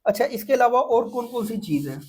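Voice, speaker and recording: A woman's voice: on the high side, lively intonation, fairly quick, darkish tone, breathy edge. A woman with a Pakistani accent giving an informal lecture as an exam prep teacher, explaining step by step with frequent repetition and check-ins.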